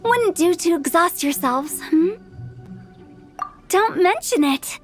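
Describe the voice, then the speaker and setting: Young female game director: sprightly, courteous, a touch theatrical, very high voice, soft music underneath. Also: lively intonation, takes it slowly, full character voice. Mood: courteous, breezy. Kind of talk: video game voice acting.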